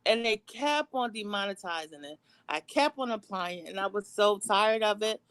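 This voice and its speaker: A woman with a New York accent chatting as a livestream guest in a lively, teasing way. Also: medium pitch, normal speed, clean tone. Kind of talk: casual livestream chat.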